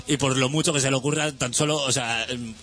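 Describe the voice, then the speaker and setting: Bright-voiced man, halting radio chat. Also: medium pitch, steady tone. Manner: hesitant, full of "eh" fillers and restarts. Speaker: radio music show host. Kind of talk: casual rambling radio chat.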